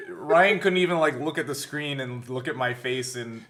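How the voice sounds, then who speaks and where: A man of average pitch chats as a podcast co-host. He explains things informally, circling back and rewording himself as he goes. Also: a little slow, a bit bright. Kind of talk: casual behind-the-scenes chat.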